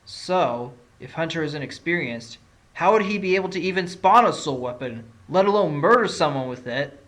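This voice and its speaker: A man's voice: fairly high pitch, animated, moderate pace, a bit bright, a little hiss or hum. A man playing a confident fox defence lawyer with an assertive, probing courtroom manner in an audio drama. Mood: assured.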